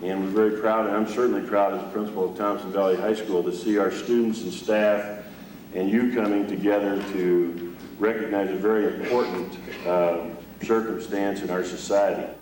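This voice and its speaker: Male principal, formal speech, lowish voice, booming hall, distant mic. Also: steady tone, moderate pace, a little mellow, slight background noise. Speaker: school principal. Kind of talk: speech at an event. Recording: echoey hall from far off.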